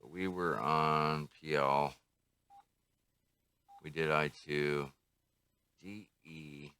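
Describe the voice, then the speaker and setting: Very deep-voiced older man, casually reviewing gear. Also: fairly even intonation, sharp tone, smooth, no echo. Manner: unhurried, musing, talks to himself. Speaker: radio hobbyist reviewer. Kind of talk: casual hands-on product review, thinking aloud.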